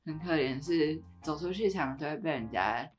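A woman's voice: low-pitched, animated, normal speed, faint music behind. A woman with a Taiwanese accent hosting a podcast in a conversational style. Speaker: podcast host.

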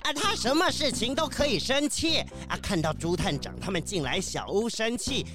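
A voice actor playing a kindly older kiwi bird professor in a children's audio drama, hesitant and gently scolding. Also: mid-range voice, animated, moderate pace, over music, natural voice. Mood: chiding.

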